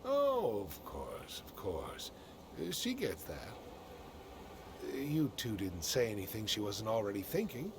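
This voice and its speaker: Game role: awkward, solicitous busybody man, extremely animated intonation, faint noise behind. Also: lowish voice, full character voice. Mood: awkward prying. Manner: halting, solicitous, prying. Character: fussy, awkward, nosy male acquaintance, overly polite. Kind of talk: video game dialogue.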